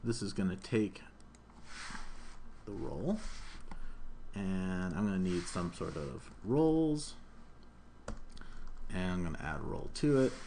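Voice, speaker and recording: Male programming instructor, fairly low voice, informal step-by-step talk, slightly noisy desk mic with keyboard sounds. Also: animated, takes it slowly, pauses often, dark tone. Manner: informal step-by-step narration of own actions. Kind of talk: live coding tutorial, thinking aloud. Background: keyboard typing, room noise.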